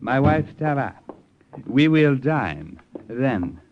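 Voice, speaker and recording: An actor in an old radio drama playing a cautious, hospitable Siamese colonel who speaks formally and deliberately. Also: male voice, medium pitch, sing-song, slight background noise. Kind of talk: 1940s-50s radio drama acting. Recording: dull, lo-fi old radio recording.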